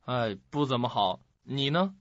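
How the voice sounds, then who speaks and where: A man's voice: medium pitch, animated, unhurried, no echo, lightly acted. An actor playing an awkward, friendly young male student in a Chinese radio drama. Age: young adult. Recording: dull lo-fi recording.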